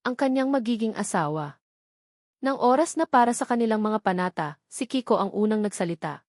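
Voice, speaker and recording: A woman's voice: medium pitch, expressive, fairly quick, a little mellow, no echo, studio quality. A woman with a Filipino accent reads a scripted love story as a storyteller, in a sentimental, earnest manner.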